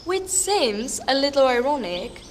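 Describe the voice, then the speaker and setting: A woman's voice: sits high, sing-song, unhurried, slight background noise. A woman playing a wry, matter-of-fact, nitpicking co-narrator in a fairytale audio drama. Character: wry, nitpicking female co-narrator who punctures the mood. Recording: faint forest birdsong behind.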